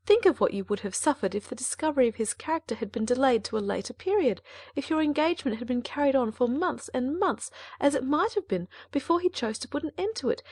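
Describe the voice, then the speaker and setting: An actress voicing a composed but anxious elder sister who urges and pleads earnestly in an audiobook drama. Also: female voice, sits high, a little mellow, no room sound, clean studio sound.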